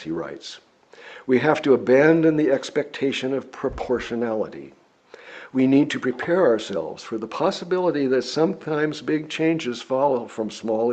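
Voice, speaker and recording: An American man reading a sermon from a script, speaking in measured, deliberate phrases with emphatic pauses. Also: mid-range voice, a little mellow, breathy edge.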